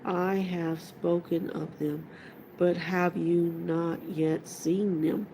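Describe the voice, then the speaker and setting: Authoritative male wizard for a storybook female reader, formal, unhurried, gravelly, light background noise. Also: on the low side, flat delivery, mellow, poor audio. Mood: calm. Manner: measured, formal.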